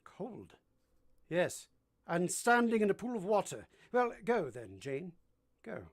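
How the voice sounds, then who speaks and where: Give me a man playing a brooding middle-aged gentleman for an old-time radio drama, intense and commanding, with halting delivery. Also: high-pitched, very animated, exaggerated voice. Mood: tender, reluctant. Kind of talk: vintage radio drama acting.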